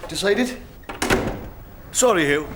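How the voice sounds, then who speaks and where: A man's voice: high-pitched, expressive, slow, noisy background. A man in a British TV drama speaking up indignantly.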